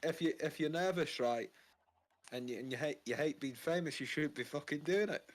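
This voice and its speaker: A man's voice: on the high side, moderate pace. A young man from Fife with a Scottish accent touched by Mancunian, talking casually as a rock frontman, boastful and swearing freely. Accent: Fife Scottish with some Mancunian. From Scotland. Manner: laid-back, swearing, boastful. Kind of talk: casual interview.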